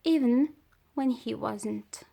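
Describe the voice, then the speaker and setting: A woman reading a children's story aloud in a deliberate way, pausing between phrases. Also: fairly high pitch, creaky, mellow. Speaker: storybook reader.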